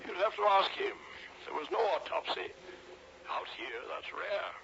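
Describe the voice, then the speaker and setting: A man's voice: high-pitched, expressive, normal speed, creaky. A man acting a tormented, sleepless colonial railway boss in a radio drama, overwrought and defensive. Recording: noisy, muffled old radio broadcast.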